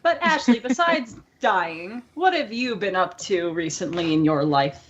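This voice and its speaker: A woman with a medium-pitched voice co-hosts a casual podcast. She speaks informally and freely offers her opinions in a conversational way. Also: lively intonation, unhurried, lo-fi sound, slight background noise. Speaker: podcast co-host. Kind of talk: casual chat.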